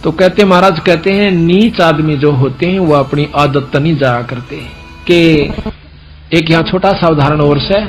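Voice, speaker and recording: A man with a Haryanvi accent preaching through a folk story, telling it colloquially and voicing the dialogue as reported speech. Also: a little high. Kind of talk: religious discourse told as a folk story.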